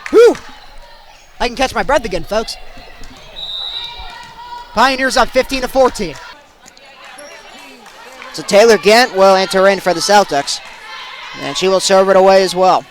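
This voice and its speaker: Young guy doing live sports play-by-play, really high voice, punchy short phrases. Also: measured pace, pauses often, a faint crowd. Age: young adult. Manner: excitable, punchy delivery in short clipped phrases. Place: at sports venues, live during games.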